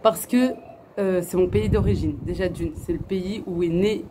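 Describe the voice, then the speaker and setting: A woman's voice: fairly low pitch, normal speed, background noise. A middle-aged woman from France, chatting earnestly to camera and answering viewers' questions, with many fillers and restarts. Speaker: family vlogger. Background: faint outdoor ambience.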